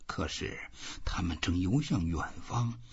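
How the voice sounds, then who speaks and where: Male narrator as lonely old fisherman for audiobook: murmured self-talk, breathy and husky, slow, widely varying intonation. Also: low-pitched, expressive, highs cut off, exaggerated voice. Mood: pondering. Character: weathered old fisherman, lonely, talking to himself.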